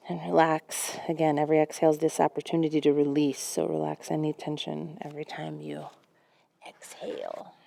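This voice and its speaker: A young woman guiding a yoga class in a gentle, unhurried, conversational way. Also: low voice, little pitch movement, creaky, no echo. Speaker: yoga instructor. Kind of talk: guided yoga class instruction.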